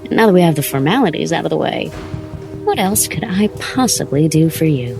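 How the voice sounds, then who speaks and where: A scheming female crime boss in an audio drama, acted by a woman, speaks in a low voice with plenty of fry. She is coy, smug and measured, with music playing underneath. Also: expressive. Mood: smug, enticing.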